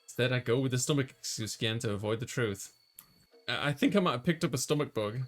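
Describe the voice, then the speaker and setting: A man's voice: medium pitch, lively intonation, fast talker, faint music behind, close to an everyday voice. A man voicing a flustered young male college student who narrates his own story in a visual novel. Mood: flustered, sheepish.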